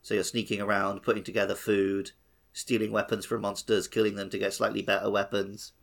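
A man's voice: fairly low pitch, completely flat delivery, a little fast, vocal fry. A man chatting casually as co-host of a podcast. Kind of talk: casual chat.

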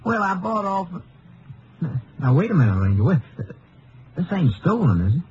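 An actor playing a naive, defensive ranch hand with an American West accent, folksy and flustered, in an old radio western. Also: male voice, high-pitched, very animated, moderate pace, darkish tone. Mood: nervous, defensive. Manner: folksy drawling, flustered, stammering. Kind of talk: old-time radio western drama acting. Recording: dull, faintly noisy vintage radio.

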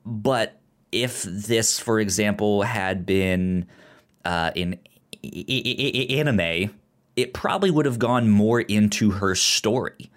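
Man, low voice, frequent stammer, repeated syllables, casual podcast discussion. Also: slow pace. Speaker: podcast co-host reviewing a film.

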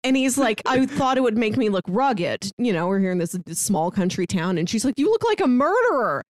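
A woman's voice: fairly high pitch, sing-song, brisk, no echo. Woman chatting about movies on a podcast, acts out little bits, really dry close audio. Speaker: podcast co-host reviewing a film. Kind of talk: casual film discussion.

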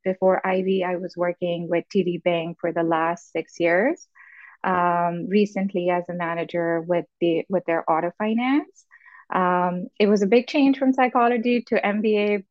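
A woman's voice: on the low side, dry recording, muffled recording. A woman in her thirties from Pakistan with a Pakistani accent, talking informally as a student panelist. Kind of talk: informal self-introduction.

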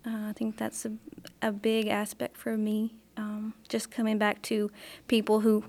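A woman, a missionary's wife, speaking softly and reflectively in an interview. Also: mid-range voice, monotone, a little slow. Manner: soft, reflective, gentle. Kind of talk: interview conversation.

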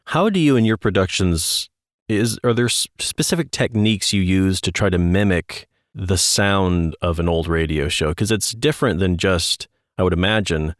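A man's voice: sits low, no echo, clean studio sound. A man co-hosting a podcast, chatting and interviewing in a conversational way.

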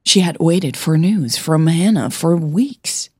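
A woman narrator reads scripted fiction slowly and deliberately. Her voice is very husky and slightly low. It is recorded close and dry. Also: expressive, darkish tone, dry recording. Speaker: podcast host and narrator.

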